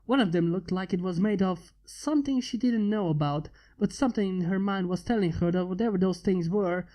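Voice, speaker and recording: A man's voice: high voice, fairly quick, warm tone. A man reading a fan fiction story aloud as scripted narration.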